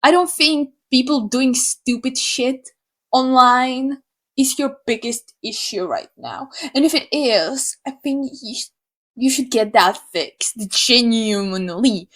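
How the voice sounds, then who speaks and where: Young woman from Slovakia, English, high voice, sarcastic, animated, swears casually. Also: slow pace. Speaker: YouTuber. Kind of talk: opinion rant to camera.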